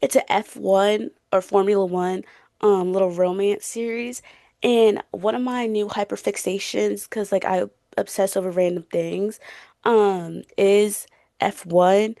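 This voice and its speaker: A woman's voice: medium pitch, fairly even intonation, a little slow, dark tone, dry recording, clean studio sound. A young woman in a casual book chat as a podcast co-host, gushing, slangy and playful.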